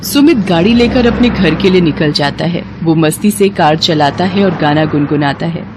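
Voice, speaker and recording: A woman's voice: on the low side, moderate pace, background noise. A woman giving scripted narration of a moral story.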